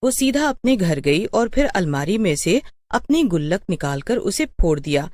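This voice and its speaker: A woman's voice: mid-range voice, sing-song, normal speed, a little mellow, no room sound. A woman narrating a scripted story as a storyteller.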